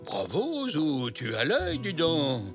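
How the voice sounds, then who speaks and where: A man playing a kindly, helpful old grandfather zebra in a children's cartoon, musing and unhurried, with a creaky voice. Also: a little high, huge pitch swings, over music, heavily acted.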